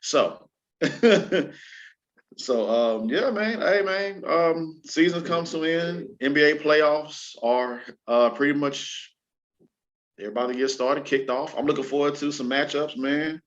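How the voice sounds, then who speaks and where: A man's voice: on the high side, slow. A man hosting a casual entertainment and sports show, chatting in a slangy, conversational way. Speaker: talk show host. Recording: slightly dull, lo-fi audio.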